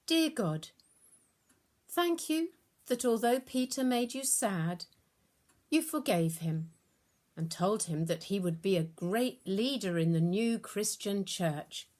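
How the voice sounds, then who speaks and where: A woman reading a scripted Bible story for children with a simple, deliberate and expressive delivery. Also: medium pitch. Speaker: storyteller and prayer leader.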